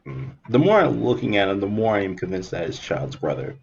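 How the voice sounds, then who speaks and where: A man's voice: fairly low pitch, big pitch swings, a little fast, gravelly, a little mellow. A young man giving casual let's play commentary as host of a gaming video. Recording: dull, band-limited mic, faint game music. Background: game music.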